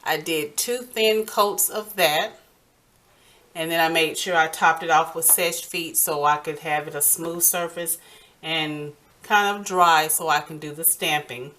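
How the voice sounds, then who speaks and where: Woman doing a casual how-to, low voice, real slow, stops and starts a lot. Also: fairly even intonation, pushed and bright. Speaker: nail art tutorial host. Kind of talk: casual step-by-step tutorial talk.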